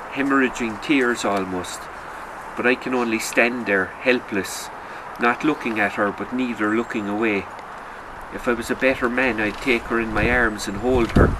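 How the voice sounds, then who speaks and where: A man narrates literary fiction as an author would at a reading. His voice sits fairly low, and his delivery is sombre and measured. Clear background noise, like outdoor ambience, runs behind him. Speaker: author reading own short story.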